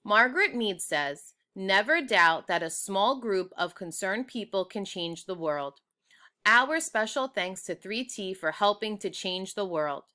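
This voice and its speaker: A woman's voice: mid-range voice, lively intonation, unhurried, pushed and bright. A woman voicing a proud, formal female school official making a ceremonious, emphatic award speech in a storybook.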